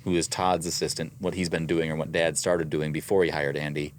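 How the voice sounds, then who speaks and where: A very low-voiced man with a slight Midwestern accent talks through his weekly figures in a casual, methodical way. A faint noise sits behind his voice. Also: level tone, brisk. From American Midwest. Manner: methodical, reads out numbers. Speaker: voice actor and co-host. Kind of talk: casual business chat.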